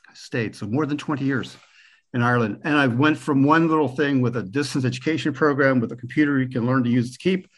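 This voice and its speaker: A man in his sixties from Pennsylvania with an American accent, a guest on a podcast telling relaxed, rambling stories with the odd chuckle. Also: medium pitch. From Pennsylvania, USA. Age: 60s. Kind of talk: relaxed conversational storytelling.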